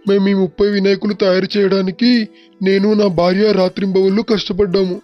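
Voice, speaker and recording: A man's voice: high voice, monotone, fast, dry recording, natural voice. A man playing a humble, grateful poor village husband and father in a moral story cartoon. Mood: humble, eager. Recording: dull, muffled track with music. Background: background music.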